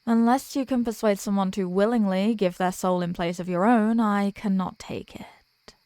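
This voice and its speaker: Woman acting as a female archdemon, mid-pitched, coy, smug, worldly, teasingly formal, roleplay audio drama, very dry close sound. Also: steady tone, moderate pace, a little mellow, no echo, close to an everyday voice. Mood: curious, bargaining.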